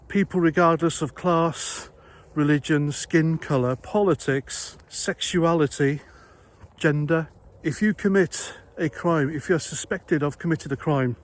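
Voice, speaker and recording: A man from Wales with a Welsh accent, an ex-army vlogger giving a blunt, conversational opinion monologue full of rhetorical questions. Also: a little high.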